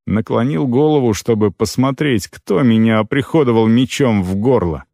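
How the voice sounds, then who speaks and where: A man with a mid-pitched voice narrates a fantasy audiobook in the first person. His delivery is scripted yet expressive and dramatic, telling the story as the hero. The sound is very dry, with no room around the voice. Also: moderate pace, gravelly, dry recording.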